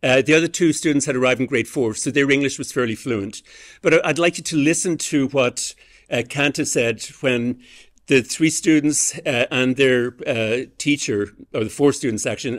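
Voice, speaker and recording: A man giving an academic lecture as an education professor, explaining ideas in a measured way with frequent hesitant fillers. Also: medium pitch.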